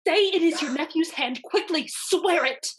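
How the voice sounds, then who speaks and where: Woman playing a high-voiced scheming maidservant. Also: normal speed. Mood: urgent scheming. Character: scheming, pleading young lady's maid. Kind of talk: theatrical Restoration comedy reading.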